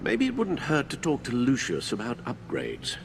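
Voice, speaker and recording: An actor playing a loyal older butler in a video game, formal and dryly witty, a fretful caretaker. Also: male voice, mid-range voice, wildly swooping pitch, moderate pace, creaky. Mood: concerned, wry. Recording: music behind the voice.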